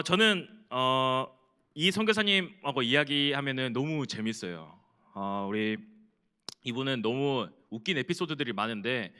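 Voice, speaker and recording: A man's voice: fairly high pitch, bright, forceful tone. A man moderating a church panel talk, playful and chatty as he teases a guest and asks him questions.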